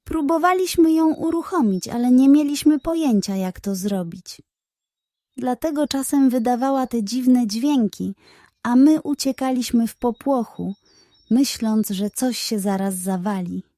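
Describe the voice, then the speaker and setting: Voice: medium pitch, animated, a little slow, mellow, no echo. A woman voicing an anxious, apologetic male porcupine explaining himself in a children's bedtime story. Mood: earnest explaining. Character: sheepish, anxious male porcupine, father of large family.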